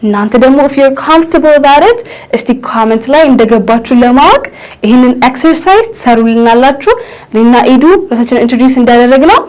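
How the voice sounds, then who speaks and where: A woman in her twenties with an Ethiopian accent, teaching English and switching languages, slowing down to spell out phrases. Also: sits high, lively intonation, a little mellow, low-quality recording. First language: Amharic. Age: 20s. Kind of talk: bilingual English lesson.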